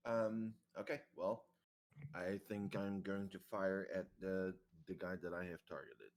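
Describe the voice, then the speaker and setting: Lowish-voiced man, casual tabletop player. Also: measured pace. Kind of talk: casual game chat.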